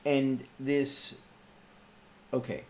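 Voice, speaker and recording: A man's voice: mid-range voice, level tone, very slow, a little mellow. A man talking casually as a player in a tabletop roleplaying game. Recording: clearly noisy background.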